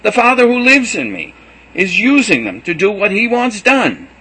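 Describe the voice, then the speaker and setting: A man voicing Jesus as a gentle teacher saying farewell to his students, earnest and measured. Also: medium pitch, animated, breathy edge, exaggerated voice, low-quality recording. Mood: impassioned. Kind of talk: reading a gospel aloud.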